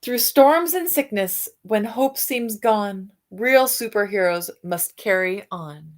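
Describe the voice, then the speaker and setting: A woman reading a picture book aloud to children, expressive, with soft musing questions for young listeners. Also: medium pitch, slow pace. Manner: expressive reading, alternating with softer musing asides and hums. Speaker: read-aloud storyteller for children.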